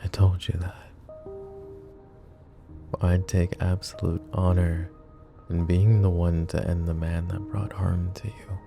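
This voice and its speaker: A man voicing a possessive, menacing mafia boss in a roleplay audio drama, speaking calmly and softly with occasional whispers, close to the microphone. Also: takes it slowly, creaky, pauses often, over music, full character voice. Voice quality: low, soft, close-mic murmur with whispers. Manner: calm, controlled, coolly threatening and doting. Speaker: voice actor. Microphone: close ASMR microphone.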